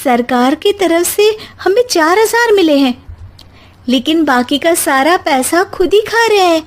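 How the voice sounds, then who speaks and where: A woman's voice: very high-pitched, animated, unhurried, voice pushed up. A woman voicing an educated, outspoken young daughter-in-law in a story, with an earnest, articulate way of talking. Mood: alarmed, indignant.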